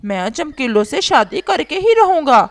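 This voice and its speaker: A woman's voice: high voice, big pitch swings, measured pace, heavily acted. A woman voicing a whiny, scheming, lovesick male crow in a children's cartoon, with a pleading, complaining manner. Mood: aggrieved.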